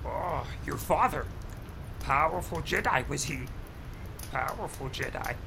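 A tiny, ancient alien sage with a very gravelly, croaking voice. His pitch sits high and swings widely as he speaks slowly in backwards phrases, cranky, impish and wise, in an audio drama. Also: expressive, noisy background. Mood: grave, stern. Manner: inverted syntax, chuckles and hums between phrases. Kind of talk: audio drama character acting.

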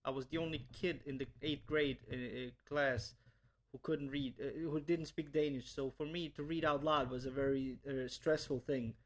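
A man's voice: medium pitch, steady tone, normal speed. A young man from Bosnia, a language teacher, answering interview questions earnestly with many restarts and repeated words.

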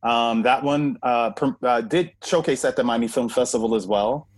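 A man's voice: medium pitch, moderate pace, no echo. Earnest male co-host, dry sound. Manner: earnest, lots of "you know" fillers. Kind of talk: talk show hosting.

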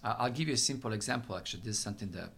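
A man's voice: lowish voice, racing, gravelly, fairly bright tone. A man from Italy with a thick Italian accent, explaining his research in an interview, with many fillers and restarts. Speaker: researcher and center director. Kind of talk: interview answers. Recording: faint background noise.

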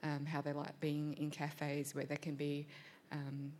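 A woman speaking on a panel, thoughtful and measured, with frequent pauses and ums. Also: low-pitched, monotone, a little mellow, slight background noise. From Australia. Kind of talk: panel discussion.

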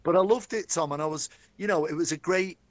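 A man's voice: fairly high pitch, a little fast, no room sound. A middle-aged man with a Manchester accent, telling stories from his career as a guest in a chatty, enthusiastic interview. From Manchester, England. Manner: chatty, enthusiastic, addresses host by name. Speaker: guest, stadium announcer. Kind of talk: storytelling interview.

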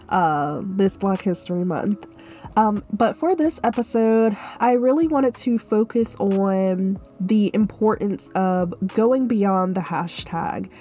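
Young woman, solo podcast host, stuffy and sick, mellow tone, earnest and chatty, soft music beneath. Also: mid-range voice. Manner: earnest, filler-heavy casual talk. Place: Florida.

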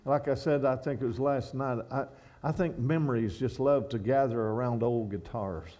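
A man from Kentucky with a Kentucky accent, speaking at a church with halting restarts and reading a poem in a steady rhythm. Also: medium pitch. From Kentucky, USA. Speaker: guest speaker. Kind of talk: sermon with a read-out poem.

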